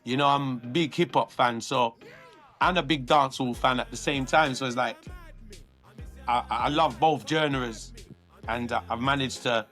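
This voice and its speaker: A man's voice: mid-range voice, measured pace, fairly bright tone, faint music behind. London man telling his story. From London, England. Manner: earnest, reflective, many restarts. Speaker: guest artist. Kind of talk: relaxed interview talk.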